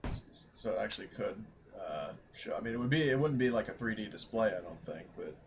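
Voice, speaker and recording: A man's voice: on the low side, animated, a little fast, gravelly, background noise. A man chatting casually as a player in a tabletop roleplaying game, with a wry, sarcastic manner.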